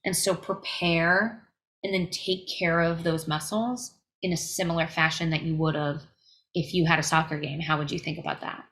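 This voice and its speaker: A woman's voice: low voice, steady tone, normal speed, a bit bright, slight background noise. A young woman, a touring singer, giving earnest, emphatic advice in a casual podcast interview, with fillers along the way. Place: home recording, podcast interview.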